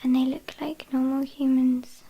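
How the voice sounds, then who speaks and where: A woman's voice: sits high, flat delivery, mellow, slightly rough, lo-fi sound, exaggerated voice. A woman with a British accent playing a teasing, haughty vampire kidnapper in an ASMR roleplay, whispering breathily. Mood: teasing, controlling. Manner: teasing, mock-apologetic, unhurried.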